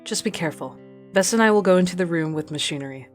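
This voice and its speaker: A low-voiced, stern woman captain, played by an actress, terse and commanding. She is guarded and unwavering, in an audio drama with faint music behind her. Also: animated, quick pace, close to an everyday voice.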